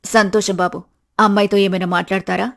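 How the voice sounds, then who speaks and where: A woman puts on a bride's middle-aged parent in a storybook family drama. The pitch sits low with some gravel in it, and the manner is polite, agreeable and eager. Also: level tone, a little fast, a bit bright. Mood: proud, polite.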